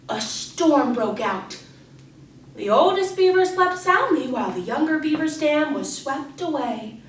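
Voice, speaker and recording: A woman performing an intense, falsely sweet schoolteacher who addresses children in a theatrical monologue with crisp diction and dramatic pauses. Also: high voice. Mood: storybook telling. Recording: echoey room, distant mic, faint noise.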